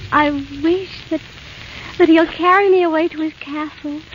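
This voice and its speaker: A woman playing a sweet, innocent young princess in a 1930s radio fairy tale, gentle and earnest, with a girlish, fluting voice. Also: very high-pitched, fairly even intonation, moderate pace. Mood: wishful. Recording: scratchy, muffled 1930s radio broadcast.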